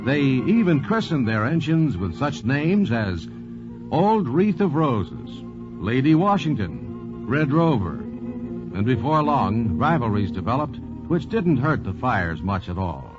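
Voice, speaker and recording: Animated man narrating vintage radio. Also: medium pitch, slow, over music. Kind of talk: 1940s radio scripted narration. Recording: dull vintage 1940s radio recording.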